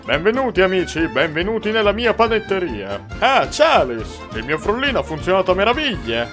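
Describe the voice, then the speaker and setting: A man's voice: high-pitched, very animated, fairly quick. A man voicing a grandiose, scheming ghostly chef in a cartoon fan dub, with florid, theatrical delivery. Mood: jovial, grand. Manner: florid, pompous wording. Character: grandiose, scheming ghostly male chef, genial turned menacing. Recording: music under the voice.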